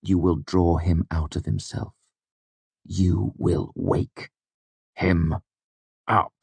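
A man voicing a sly fey helper who swings between low, fierce urging and breezy cheer. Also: flat delivery, drawn-out words, creaky, darkish tone, slightly rough, dry recording, full character voice.

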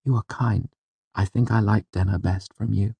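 A man voicing a teasing, gentle yet guarded young woman in audiobook dialogue, speaking softly. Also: fairly low pitch, fairly even intonation, moderate pace, gravelly, husky, no room sound, pitched above the performer's own voice, full character voice. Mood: soft, wistful. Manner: soft, teasing.

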